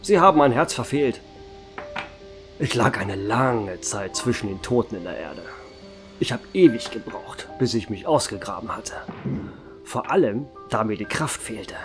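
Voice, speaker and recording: Ein Mann spricht einen uralten Vampir-Wirt. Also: male voice, lowish voice, a little slow. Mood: calm. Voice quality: calm, dry, wry storytelling. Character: ancient male vampire barkeeper, calm and dry. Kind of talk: amateur horror audio drama. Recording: music behind the voice.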